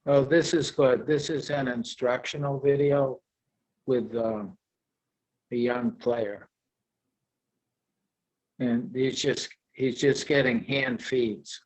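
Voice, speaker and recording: Slow-talking man presenting on a video call. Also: mid-range voice, steady tone, darkish tone, rough recording. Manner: halting, with many fillers. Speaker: presenter, product seller. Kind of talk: virtual conference presentation.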